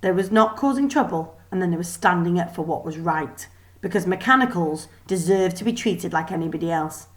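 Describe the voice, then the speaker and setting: A woman giving an expressive scripted narration of a children's story. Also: fairly low pitch, brisk. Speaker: storybook reader.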